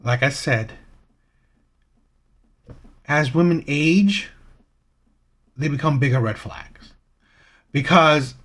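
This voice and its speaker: A man hosting a reaction channel, giving opinionated commentary with rhetorical questions and frequent "right?" checks. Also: a little high.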